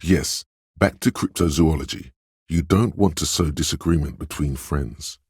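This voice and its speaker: A man voicing a suave, insinuating inner voice of persuasion in a video game, narrating in a measured, knowing, gently coaxing way. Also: no room sound, clean studio sound, full character voice. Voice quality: very deep, husky. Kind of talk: scripted video game narration in second person.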